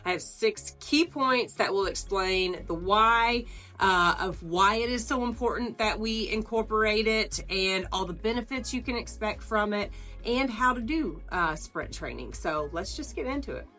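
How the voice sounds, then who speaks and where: A woman's voice: medium pitch, lively intonation, faint music behind. A woman in her forties, a wellness coach, explaining training step by step, slightly nasal as she gets over the flu. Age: 40s. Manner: warm, earnest, explains step by step with pauses. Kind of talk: instructional talk to camera.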